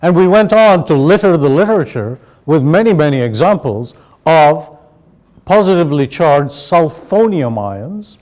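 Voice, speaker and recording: A man raised in Sri Lanka giving a measured, explanatory university lecture to an audience. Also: fairly high pitch, big pitch swings, a little mellow. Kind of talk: academic lecture.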